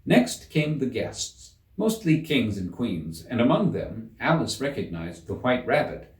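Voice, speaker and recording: A man giving a measured, theatrical reading of a children's story in an echoing room. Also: medium pitch, sing-song, far from the mic. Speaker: audiobook narrator. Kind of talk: expressive scripted storybook narration. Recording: roomy echo, slightly off the mic.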